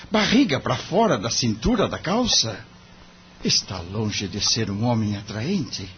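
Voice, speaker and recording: A man's voice: on the low side, fairly bright tone. A Brazilian man playing a courteous, idealistic young lawyer in an audio drama, formal and earnest. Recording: muffled lo-fi audio, background noise.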